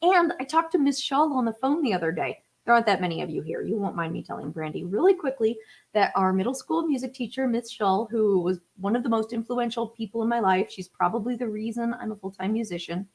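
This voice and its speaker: A woman's voice: on the high side, animated, brisk. A woman in her thirties, from the Atlanta area, chatting casually on a live stream as a musician talking with her viewers, rambling with lots of fillers. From United States (Atlanta area). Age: 30s. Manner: chatty and rambling, many fillers, laughs often.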